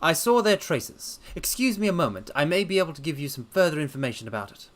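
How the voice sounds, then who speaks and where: A man voicing a confident, methodical detective in a dramatic reading, with a crisp, politely assured way of talking. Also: on the high side, very animated, quick pace, a bit bright, voice pushed up.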